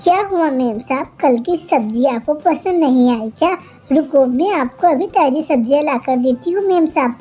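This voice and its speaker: Casting: woman to play high-voiced cartoon sparrow vegetable seller, feisty, singsong, quick to scold, light music underneath. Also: dark tone, close to an everyday voice. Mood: anxious, eager. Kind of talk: Hindi kids' cartoon character voice.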